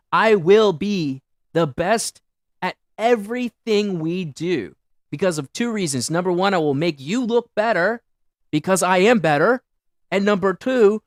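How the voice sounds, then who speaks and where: A young man hosting a solo livestream, rambling and boasting loudly about himself. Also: sits high, no echo, clean studio sound. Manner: boastful, rambling, self-aggrandizing. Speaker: livestream host.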